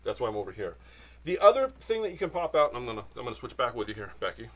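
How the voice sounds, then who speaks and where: Male instructor, casual and jokey, sidetracks easily, animated delivery, light background noise. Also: mid-range voice, racing. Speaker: sewing machine instructor. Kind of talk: casual demo with banter.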